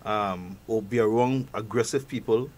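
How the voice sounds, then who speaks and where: Halting man with a Trinidadian accent. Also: lowish voice, darkish tone. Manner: halting, repeats words often. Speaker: guest expert. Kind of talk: talk show discussion.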